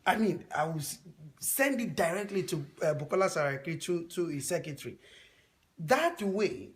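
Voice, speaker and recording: A man with a high voice explains his plans earnestly, pausing and restarting as he goes. He talks casually within a group. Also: animated. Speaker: discussion participant. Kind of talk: casual chat.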